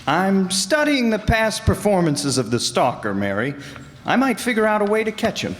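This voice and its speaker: A man's voice: high-pitched, very animated, normal speed. A man playing a wry, confident amateur detective in an old-time radio drama. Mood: wry, casual. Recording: noisy echoing hall.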